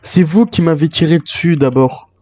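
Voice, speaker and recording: Man, mellow voice, wary survivor role-play, video game, muffled roomy recording. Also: fairly high pitch, moderate pace. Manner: speaks in character as a wary survivor. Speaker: player role-playing a zombie apocalypse survivor. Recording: muffled lo-fi mic, roomy echo.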